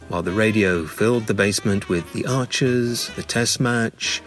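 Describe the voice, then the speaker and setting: A man narrating a scripted memoir in a measured, dramatic storytelling manner with deliberate pauses. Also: lowish voice, fairly bright tone.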